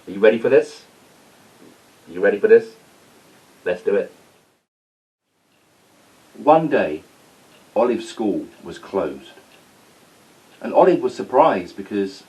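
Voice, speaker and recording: A man's voice: on the low side, a little mellow, distant mic, poor audio. A man reading a children's story aloud as storyteller. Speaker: storyteller, school staff reader.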